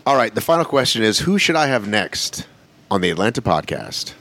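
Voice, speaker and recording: Quick, lively male podcast host. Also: lowish voice, slight background noise. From Boston. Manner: quick, excitable, swears for emphasis. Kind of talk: casual podcast interview.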